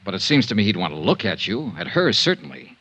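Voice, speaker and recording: A man's voice: fairly low pitch, very animated, quick pace, gravelly, fairly bright tone, heavily acted. An American actor playing a blunt, world-weary male insurance investigator in a 1950s radio drama, both narrating and speaking in scenes. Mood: pressing, frank. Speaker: lead actor and narrator of a radio detective serial. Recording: dull band-limited 1950s radio recording.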